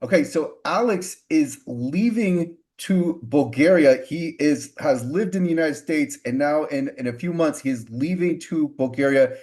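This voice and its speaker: A man's voice: on the high side, measured pace, hardly any pauses, a little mellow. A male podcast host from Texas in an easygoing interview. He asks long, rambling questions full of fillers and restarts.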